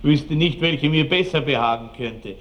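An actor playing a boastful, lecherous country baron with an Austrian accent and pompous, relishing delivery in a radio drama. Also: male voice, on the high side, moderate pace, muffled recording, close to an everyday voice. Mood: swaggering. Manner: pompous, rambling, relishing every word. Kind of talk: classic radio drama acting. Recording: noisy old 1960s radio drama recording.

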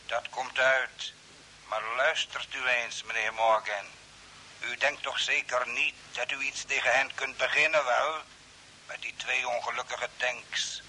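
A secretive, cryptic stranger calls over space radio in an old radio drama. The voice is extremely sharp and bright through a radio filter, measured and guarded, at a medium pitch. Faint hiss sits behind it. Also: male voice, steady tone, poor audio. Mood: cryptic, knowing. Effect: radio transmission filter.

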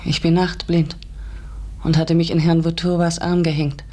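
An actress playing an earnest, unsettled schoolteacher, both narrating and speaking in a German radio drama. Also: female voice, on the low side, little pitch movement, normal speed, mellow, close to an everyday voice. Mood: earnest, uneasy. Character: earnest, unsettled woman schoolteacher telling her strange story. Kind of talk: German radio drama acting, first-person narration and dialogue.

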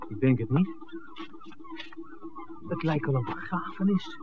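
An actor playing an inquisitive film-maker visiting a village in a 1960s radio play, conversational and full of questions. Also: male voice, a little high, animated, measured pace. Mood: curious, puzzled. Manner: questioning, conversational, hesitant asides. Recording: tinny, noisy 1960s radio recording.

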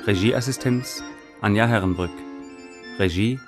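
Male announcer, lowish voice, formal credit reading, orderly delivery, radio drama, clear music bed. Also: a little slow.

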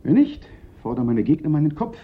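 A melodramatic police prefect who frets and pities himself. His voice sits fairly high for a man and swings widely in exasperation. It is a radio play with slightly dull, lo-fi sound. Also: big pitch swings, brisk. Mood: desperate, pleading. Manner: theatrical, exasperated, lamenting. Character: fretful, self-pitying Paris police prefect, panicky and melodramatic. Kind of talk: German radio play acting.

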